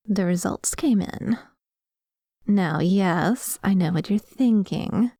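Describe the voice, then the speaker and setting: A woman playing a dominant, possessive female vampire in an ASMR roleplay, talking in a coy, deliberate and quietly menacing way. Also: mid-range voice, gravelly, no room sound, clean studio sound. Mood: coy, polite.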